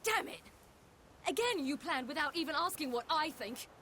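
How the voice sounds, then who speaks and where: A woman's voice: high-pitched, fairly quick, bright, forceful tone, slight background noise. An actress playing a stubborn, frustrated young woman with magic powers in a fantasy game, headstrong and outspoken. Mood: angry, defiant. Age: young adult. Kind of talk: video game voice acting.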